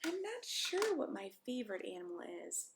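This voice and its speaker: Expressive woman reading to children. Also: high-pitched, moderate pace, noisy background. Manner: expressive, encouraging questions to listeners. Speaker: pastor reading a story to children. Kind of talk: read-aloud storytime, chatting to young children.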